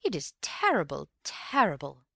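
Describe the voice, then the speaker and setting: A woman's voice: on the low side, huge pitch swings, normal speed, creaky, sharp tone, no room sound, studio quality, exaggerated voice. A woman reading aloud voices a fussy, gushing middle-aged mother who speaks with swooping, insistent emphasis. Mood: sorrowful.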